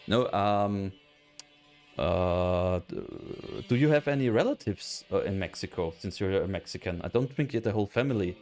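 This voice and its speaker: A man's voice: lowish voice, expressive, unhurried, a little mellow, faint music behind. A man with an Austrian German accent, a native German speaker, chatting casually with his stream chat while playing a game, hesitant with many fillers and restarts. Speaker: let's play streamer. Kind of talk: casual live gameplay chat.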